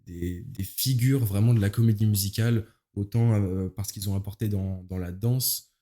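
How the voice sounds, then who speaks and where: A man of nineteen speaks in a lowish, dark and relaxed voice. He talks casually as a guest, using informal slang and feeling his way through his phrases. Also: moderate pace. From Paris, France. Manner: informal, youthful slang, hesitant searching phrasing. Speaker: interview guest, musical theatre performer. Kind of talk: casual interview chat.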